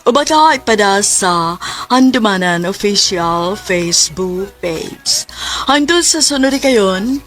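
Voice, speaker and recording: A woman's voice: mid-range voice, lively intonation, slow pace, a bit bright. A woman with a Cebuano accent giving scripted narration as a radio drama announcer.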